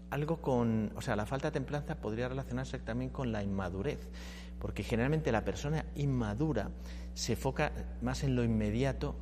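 A man moderates a panel discussion, chatting and putting questions to his guests. He speaks in a mid-range voice. The room rings with a long reverb, and a steady hum sits clearly behind him. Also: mid-range voice. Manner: conversational, poses questions to guests. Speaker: host.

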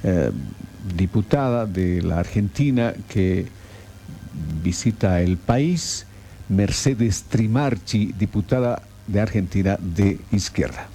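A man with a Bolivian accent anchoring a radio news bulletin in a formal, measured way, reading items and handing over to reports. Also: lowish voice, dark tone, slight background noise.

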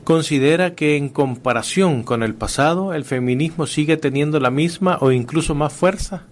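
A man's voice: on the high side, moderate pace. A man interviewing a guest, asking questions and reading introductions in a formal way.

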